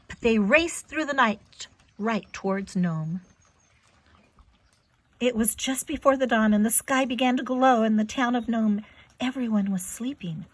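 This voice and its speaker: A woman reading a story aloud to young children, with expressive, dramatic and emphatic delivery. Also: on the high side.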